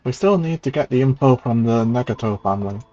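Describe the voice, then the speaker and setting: A man's voice: mid-range voice, lively intonation, a little fast, noisy background. Casual male streamer, muffled audio. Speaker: game streamer. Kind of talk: casual livestream commentary.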